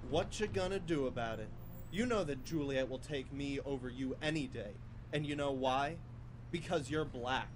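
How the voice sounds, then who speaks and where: A man's voice: medium pitch, normal speed, slight background noise, natural voice. A man acting a menacing, jealous college rival in a live student radio play, taunting and threatening. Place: small college radio studio.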